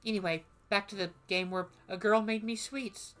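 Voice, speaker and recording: A woman's voice: mid-range voice, steady tone, normal speed, fairly bright tone. A woman streamer chatting casually and playfully while reading through a game, rambling between lines. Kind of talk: casual gameplay commentary.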